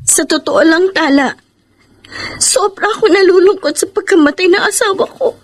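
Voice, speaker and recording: A woman's voice: very high voice, normal speed. An actress playing an emotional, guilt-ridden young mother working abroad in a melodramatic radio drama, with a Filipino accent. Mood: sorrowful. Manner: melodramatic, tearful.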